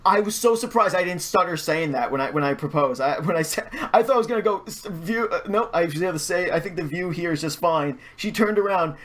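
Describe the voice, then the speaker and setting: A man chatting casually as a podcast guest, telling stories in an animated way with stammered false starts and repeated words. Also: high voice, fairly quick, few pauses. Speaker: podcast guest, hobby artist and fandubber. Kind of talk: casual chat, storytelling.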